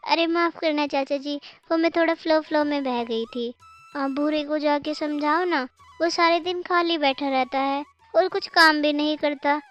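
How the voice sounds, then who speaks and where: A woman's voice: high voice, fairly even intonation, normal speed, a bit bright, muffled recording, soft background music. A woman voicing an exasperated, nagging crow wife in a children's cartoon, complaining dramatically. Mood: pleading, fretful. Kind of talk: Hindi cartoon voice acting for children.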